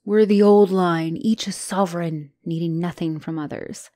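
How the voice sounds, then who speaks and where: A woman's voice: lowish voice, steady tone, moderate pace, warm tone, no room sound, pitched below the performer's own voice, natural voice. A woman voicing an anxious, self-doubting young spider-woman girlfriend in an ASMR roleplay, speaking hesitantly and emotionally and often slipping toward a whisper. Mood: bitter, hurt.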